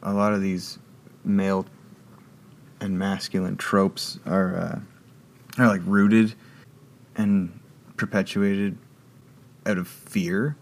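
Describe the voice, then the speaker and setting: A man with a very low, deep voice, speaking in an unhurried, halting way. He restarts his sentences often and leans on fillers as he thinks aloud in a relaxed interview chat. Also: gravelly. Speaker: guest. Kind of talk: casual conversation.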